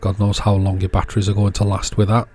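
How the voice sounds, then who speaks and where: A man with a fairly low voice talks casually through a hands-on repair. He is unscripted, thinking aloud as he works, with music faintly present behind him. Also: monotone, quick pace, warm tone. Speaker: host of an electronics repair channel.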